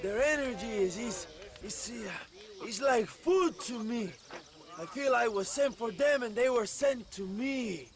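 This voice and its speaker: Pompous, high-pitched Mexican revolutionary leader. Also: male voice, expressive, a crowd in the background. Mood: self-important. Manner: pompous, theatrical, halting repeats. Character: grandiose, self-important Mexican revolutionary leader, adult man. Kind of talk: video game cutscene acting.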